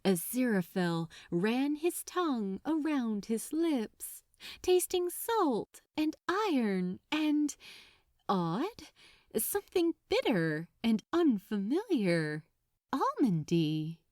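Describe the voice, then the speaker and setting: A woman reading a story aloud as a dramatic narrator, expressive and unhurried, lingering on her phrases. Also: on the high side, no echo, clean studio sound. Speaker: audiobook-style narrator of fan fiction.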